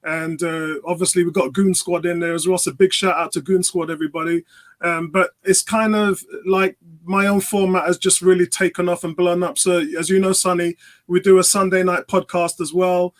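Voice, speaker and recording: A middle-aged man, chatting casually as a guest on a football fan panel and giving shout-outs. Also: high voice, flat delivery, moderate pace.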